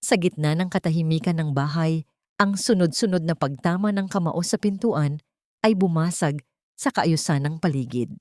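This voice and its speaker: A woman's voice: sits low, darkish tone, no room sound, studio quality. A woman reading a scripted dramatic story in a solemn, melodramatic manner.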